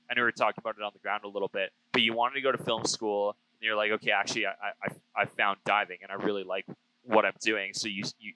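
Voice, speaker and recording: A man's voice: on the low side, sharp tone, no room sound, low-quality recording. A man around thirty hosting a casual, conversational interview, speaking into an aviation headset mic. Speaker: interview host, pilot. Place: small plane cockpit in flight. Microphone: aviation headset boom. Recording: close aircraft headset intercom.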